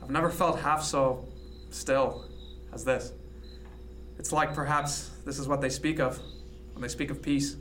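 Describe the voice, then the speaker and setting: Man acting a reserved, quietly tender first mate. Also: medium pitch, steady tone, measured pace, a little hiss or hum, close to an everyday voice. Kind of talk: radio drama acting.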